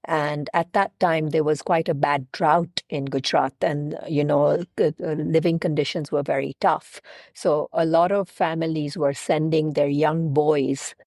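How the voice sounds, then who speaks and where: A woman's voice: deep voice, fairly even intonation, measured pace, a little mellow, dry recording, clean studio sound. A woman from Kenya with an accent mixing British, American, Indian and Kenyan sounds, chatting as an interview guest who often repeats words as she starts a phrase. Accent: mixed British, American, Indian and Kenyan. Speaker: guest, travel planner. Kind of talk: casual interview chat.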